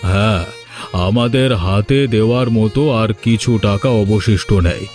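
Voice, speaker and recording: Troubled village landlord, low-key and earnest, acted by a man. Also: measured pace, darkish tone, lightly acted. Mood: worried, sombre. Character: worried, kindly ageing village landlord, weary and earnest. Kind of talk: dramatic cartoon dialogue. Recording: music behind the voice.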